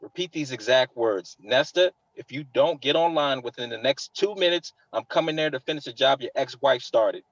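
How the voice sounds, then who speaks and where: An impatient, angry army captain acted by a man at a medium pitch, blunt and commanding. It is a drama table read on a dull microphone. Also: animated, moderate pace, hardly any pauses, no echo, lightly acted.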